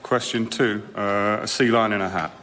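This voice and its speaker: A man playing a laid-back, literal-minded exam-taker in radio sketch comedy with a deadpan delivery. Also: lowish voice, moderate pace, echoey room.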